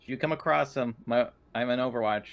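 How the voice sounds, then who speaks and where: A man's voice: mid-range voice, a little fast, gravelly. A man playing a tabletop roleplaying game, casually talking through the rules. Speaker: tabletop RPG player.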